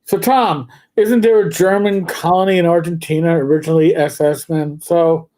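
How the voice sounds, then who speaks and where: A man co-hosting a livestream, chatting casually about tabletop games and spinning rambling anecdotes with slow, drawn-out emphasis. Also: fairly high pitch, fairly even intonation, darkish tone. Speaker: livestream co-host.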